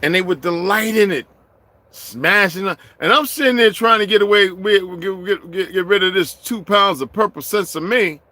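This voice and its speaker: A middle-aged man delivering a heated, profane vlog rant, swinging from low muttering to shouting. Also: moderate pace, no room sound. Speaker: vlogger. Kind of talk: profane ranting monologue.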